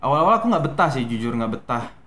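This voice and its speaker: A young man from Medan with a softened Medan Indonesian accent, a guest actor telling his story in a relaxed, hesitant way with many fillers. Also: medium pitch, fast, slight background noise. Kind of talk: casual interview talk.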